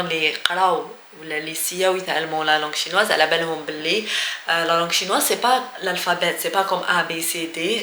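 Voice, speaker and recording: Bright-voiced Algerian woman in an echoey room. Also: on the low side. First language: Arabic and French. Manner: informal, mixes Algerian Arabic into her talk. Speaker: vlogger giving language tips. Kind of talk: casual educational talk to camera. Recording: echoey room with faint noise.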